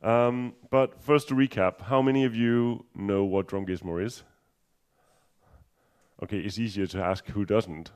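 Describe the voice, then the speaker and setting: A man's voice: fairly low pitch, fairly even intonation, unhurried, smooth. A man from Denmark with a Danish accent giving a technical conference talk, hesitant with many ums and restarts and a dry humor. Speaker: conference speaker.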